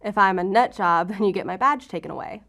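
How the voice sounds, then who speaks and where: An actress playing a guarded, defiant law-enforcement agent in an audio drama, with a sarcastic, defensive way of talking. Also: female voice, medium pitch, fast, vocal fry, a bit bright. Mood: challenging.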